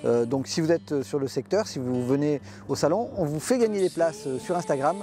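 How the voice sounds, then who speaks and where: An older man hosts a camper van vlog outdoors, his fairly high voice picked up by a lapel mic. He talks chattily with many pauses, and a soft music bed plays under him. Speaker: camper van channel host. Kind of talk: casual vlog presenting and interviewing. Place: outdoors by a motorhome at a trade show car park.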